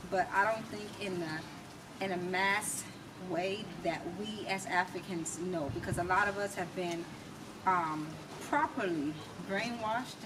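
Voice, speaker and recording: An American woman giving her opinions as an interview guest, emphatic and rhetorical, speaking in deliberate, repeated phrases. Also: medium pitch. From United States. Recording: distant mic with clear background noise.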